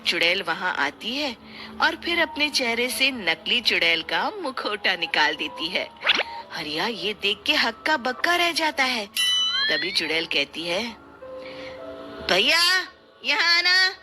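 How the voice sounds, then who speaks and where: A woman's voice: mid-range voice, big pitch swings, a little slow. A woman narrating a scripted moral story as a storyteller. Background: music.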